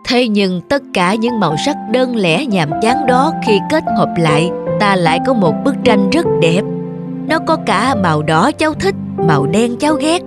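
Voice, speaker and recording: A woman's voice: medium pitch, sing-song, moderate pace, loud music behind the voice, lightly acted. A woman voicing a kindly old male painter who patiently teaches a young man in a dubbed cartoon. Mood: gently teaching. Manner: patient, instructive.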